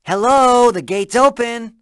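A man's voice: extremely high pitch, unhurried, a bit bright, clear, resonant tone, no echo, studio quality. A man voicing a cheerful, joking young male dragon hero in a cartoon video game. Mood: upbeat. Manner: breezy, joking.

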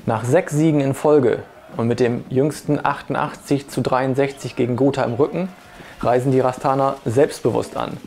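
A man's voice: medium pitch, creaky, darkish tone, a little hiss or hum. A man in his thirties reading a scripted sports report as presenter. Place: indoor video studio. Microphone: lapel mic.

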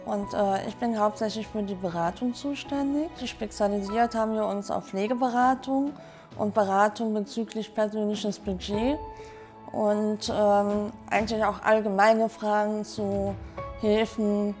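Woman, forties, advisor on camera; middle-pitched voice over faint music. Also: steady tone, measured pace, darkish tone. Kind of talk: interview self-introduction for a promotional film. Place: bright meeting room indoors. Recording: music behind the voice.

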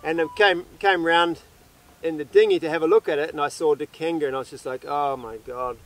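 A young man with a somewhat high voice talks casually, hosting an interview outdoors on a beach. His manner is easygoing and chatty. He often prompts with short questions. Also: fairly even intonation, fairly quick. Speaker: sailing vlog host.

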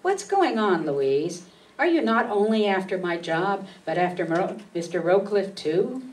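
A woman's voice: medium pitch, big pitch swings, measured pace. A woman playing a proud, proper elderly spinster secretary, haughty and scolding, in an amateur radio play. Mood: accusing. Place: meeting room stage before an audience. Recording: distant mic, faint room noise.

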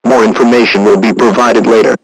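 A synthetic man's voice reading a formal scripted emergency warning in a flat, impersonal manner. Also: on the low side, fairly quick, a little hiss or hum, low-quality recording. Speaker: emergency alert announcer.

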